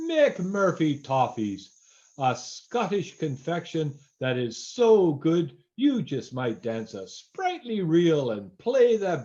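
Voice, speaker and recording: Guy doing hammy old radio announcer, slow n very up and down, folksy, kinda muffled lo-fi. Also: on the high side, darkish tone. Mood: salesy, jolly. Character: genial folksy male radio announcer plugging toffees. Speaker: announcer and narrator.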